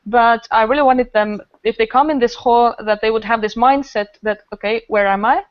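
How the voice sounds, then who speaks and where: A woman's voice: a little high, normal speed, no room sound, dull audio. A woman chatting earnestly on a podcast, explaining things in a rambling way. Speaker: podcast guest, improv festival organizer. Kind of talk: casual podcast discussion.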